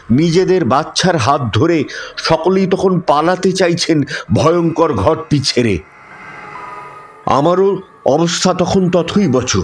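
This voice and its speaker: A man narrating a scripted ghost story in a dramatic, suspenseful style with measured phrasing. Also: on the high side, a little hiss or hum. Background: instrumental music.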